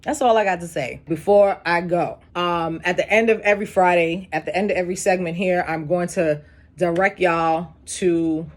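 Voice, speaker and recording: A young adult woman with a deep, raspy voice, hosting a casual solo show where she breaks down rap lyrics with animated, profane emphasis. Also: normal speed.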